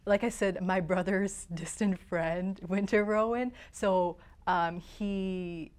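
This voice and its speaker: A woman's voice: medium pitch, fairly even intonation, takes it slowly, a little mellow. A young woman from Canada chatting hesitantly as a student guest in an interview. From Toronto, Canada. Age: young adult. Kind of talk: casual interview chat.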